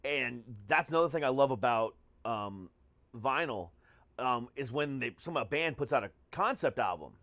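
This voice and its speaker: An American man hosting a podcast in casual chat, halting and often reworking his phrases as he goes. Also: mid-range voice, animated, fairly bright tone, no room sound. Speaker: podcast host.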